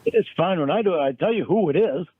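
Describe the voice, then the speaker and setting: A man's voice: high voice, animated, quick pace, husky, dry recording. Man on a phone call, accusing, repetitive, stumbling, tinny line, dry sound. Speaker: caller in a recorded phone argument. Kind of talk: heated phone argument.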